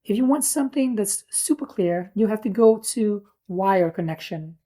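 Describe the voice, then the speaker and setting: A woman's voice: medium pitch, lively intonation, normal speed, warm tone. A woman giving a casual hands-on product review, explaining things in an informal, plain-spoken way.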